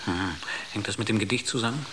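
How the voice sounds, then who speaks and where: A man's voice: on the low side, fast talker, lightly acted. A man playing a cautious, concerned spaceship crew member in a naturalistic radio drama, restrained and probing. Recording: noisy radio drama recording.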